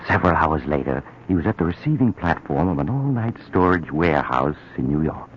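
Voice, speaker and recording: An actor playing the sardonic host narrator of a 1940s radio mystery, telling the story with dry irony. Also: male voice, very deep voice, very animated, brisk, a little hiss or hum. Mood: sly. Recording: muffled old radio broadcast.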